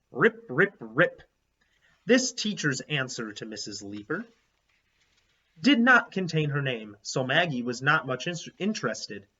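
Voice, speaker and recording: A man's voice: fairly high pitch, a bit bright. A man reading a children's book aloud like a teacher, expressively and with explanatory asides. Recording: slightly dull, lo-fi sound.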